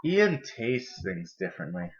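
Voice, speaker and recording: A young man reads a children's picture book aloud, narrating at a medium pitch. The recording is dull and lo-fi, picked up indoors by a camera a short way off. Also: big pitch swings, measured pace. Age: young adult. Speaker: storybook reader. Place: indoor kitchen and living room.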